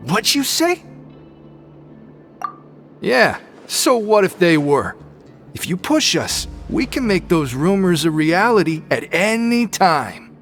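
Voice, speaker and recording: A man's voice: sits high, big pitch swings, slow, long pauses, fairly bright tone, soft background music, full character voice. A man playing a tough, cocky and loyal prison gang member in a video game, with a swaggering streetwise manner. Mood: menacing.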